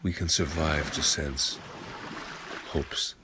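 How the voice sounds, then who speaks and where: A man reciting a poem in a measured, solemn style. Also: a bass voice, fairly even intonation. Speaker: poetry reader. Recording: clear ambient noise behind voice.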